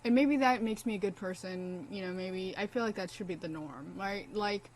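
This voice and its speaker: A woman's voice: mid-range voice, normal speed, poor audio. Blunt, swearing young woman streamer. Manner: blunt, profane and impassioned. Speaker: game streamer. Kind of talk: casual gameplay commentary.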